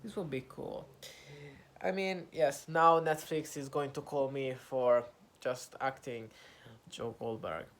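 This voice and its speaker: A young Italian man talking to camera in English, his second language, with an Italian accent, pausing often with fillers and restarts as he searches for words. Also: medium pitch, steady tone, a bit bright. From Italy. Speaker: vlogger. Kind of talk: casual talk to camera, practising English.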